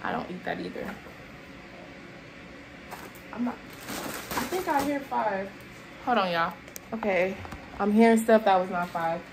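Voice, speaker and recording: A woman's voice: mid-range voice, a little slow, vocal fry, long pauses. A young woman chatting casually to her viewers while unpacking groceries in a small apartment kitchen, filmed by a camera across the room and sounding a bit tired. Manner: chatty, addresses viewers as y'all, moving around while unpacking bags. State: tired and sweaty. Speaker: vlogger showing a grocery haul. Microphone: camera at a distance across the room. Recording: echoey kitchen with background noise.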